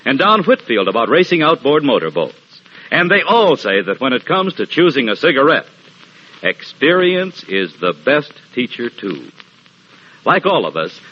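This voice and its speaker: A man delivering a folksy, emphatic advertising pitch as a 1940s radio commercial announcer. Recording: muffled vintage radio with faint noise.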